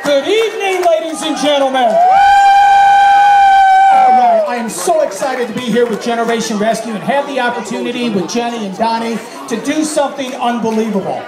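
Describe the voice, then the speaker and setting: A man calling a live charity auction, energetic and joking, rattling off bids fast. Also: very high-pitched, loud music behind the voice. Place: large event tent with a crowd. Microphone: handheld mic through PA, filmed from audience phone. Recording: echoey PA sound with crowd.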